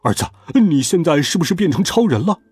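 A tiny storybook dad who lectures his son patiently, stretching out fillers between points, as a man puts him on. His voice sits a little high and swoops widely, with a husky grain, over soft music. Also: very animated, a little fast, no room sound. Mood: coaxing.

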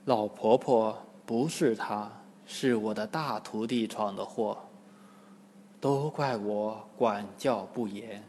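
A gentle monk master speaks low and hushed, sorry and humble, as a male storyteller voices him. The voice sits in a long reverb. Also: takes it slowly, slight background noise. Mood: apologetic. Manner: soft, apologetic.

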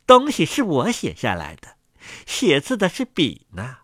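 A man's voice: fairly high pitch, huge pitch swings, unhurried, bright, forceful tone, husky, dry recording, studio quality, heavily acted. A man voicing a conceited, sneering quill pen in a storybook reading, with a mocking, superior manner.

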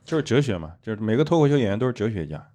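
A man's voice: fairly low pitch, very animated, racing, mellow, a little hiss or hum. A man chatting casually in short, dry interjections. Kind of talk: casual chat.

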